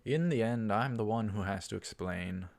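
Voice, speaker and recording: Low, creaky-voiced man narrating a game. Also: moderate pace, a little mellow. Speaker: let's play host. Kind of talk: reading visual novel text aloud with casual commentary.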